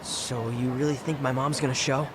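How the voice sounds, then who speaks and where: A man's voice: medium pitch, flat delivery, moderate pace, background noise, close to an everyday voice. A male actor playing a cocky, laid-back teenage boy with an American accent in a video game dub, nonchalant and cheeky.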